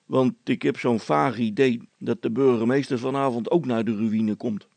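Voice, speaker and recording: A man's voice: mid-range voice, fairly even intonation, normal speed, creaky, a little mellow, no room sound. A man voicing a good-natured, proud village policeman with a folksy, rambling, hesitant way of talking in a comic story. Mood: conspiratorial. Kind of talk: character voice in a read-aloud comic story.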